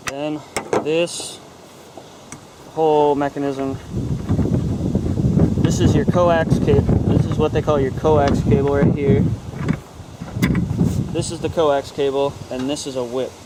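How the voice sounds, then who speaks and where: A man's voice: fairly high pitch, level tone, slow, pauses often, very noisy. A young American man giving a casual hands-on how-to in an informal, offhand, loosely phrased way. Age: young adult. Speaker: host of a how-to car video. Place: outdoors in a driveway and inside a parked car.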